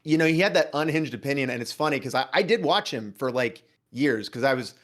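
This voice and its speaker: Earnest, rambling man with medium-pitched voice. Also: animated, brisk, fairly bright tone. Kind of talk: casual chat with heavy swearing.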